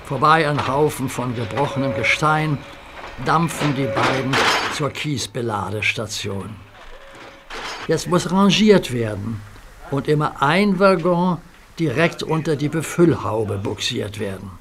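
A man narrating a children's audio story in a calm storybook reading. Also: mid-range voice. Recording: hissy audio play recording.

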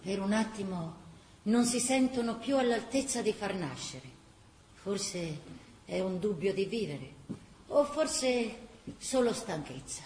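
An actress with a Tuscan accent narrating a wartime chronicle as an impassioned, vivid radio-theatre storyteller. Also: female voice, mid-range voice, lively intonation, takes it slowly, a little mellow. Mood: grave, intimate. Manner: vivid, theatrical storytelling that breaks into characters' cries. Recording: roomy stage sound, faint background noise.

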